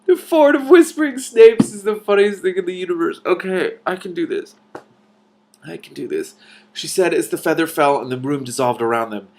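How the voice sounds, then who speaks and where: A man hosting a read-aloud of a novel, narrating expressively with animated, informal commentary. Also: high voice, normal speed.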